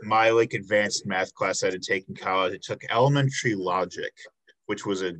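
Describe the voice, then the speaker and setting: Low-voiced laid-back young man host. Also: a little fast, fairly bright tone, low-quality recording. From Chicago south suburbs, USA. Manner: laid-back, many fillers, swears casually. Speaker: podcast host. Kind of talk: casual interview chat.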